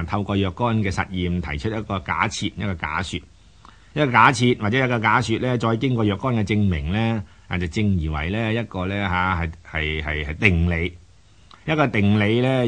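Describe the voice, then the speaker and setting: A man giving unscripted explanatory commentary as a programme host, didactic and halting, often repeating words. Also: low-pitched.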